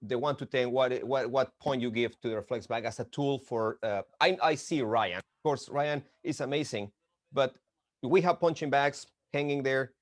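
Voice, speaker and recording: Halting Mexican-accented man, dry close sound. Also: medium pitch, no room sound. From Mexico City, Mexico. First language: Spanish. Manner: halting, searching for words in English. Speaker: interviewer, boxing gym representative. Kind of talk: casual video interview.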